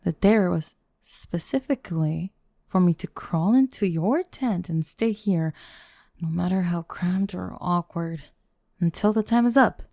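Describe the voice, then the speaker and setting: Woman acting a low, creaky, sardonic goth bully girl. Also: measured pace, warm tone, no echo, clean studio sound. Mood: grudging annoyance. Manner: teasing, grumbling, sighing, dropping into breathy murmurs. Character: sardonic goth bully girl, young, secretly flustered tsundere. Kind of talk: ASMR roleplay, intimate acting addressed to listener. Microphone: close microphone, dry.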